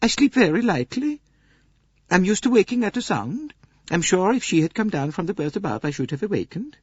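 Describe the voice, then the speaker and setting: A man voicing a kindly, pious middle-aged Swedish woman with a Swedish accent, speaking softly and earnestly in formal phrases. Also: sits high, big pitch swings, fairly quick, husky, no room sound, voice pushed up, full character voice. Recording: dull dry studio recording.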